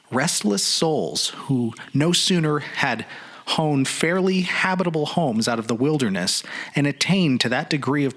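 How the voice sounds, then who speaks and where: A man hosting and narrating a horror short story in a deliberate, dramatic reading style. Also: medium pitch, a bit bright. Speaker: podcast host and story reader.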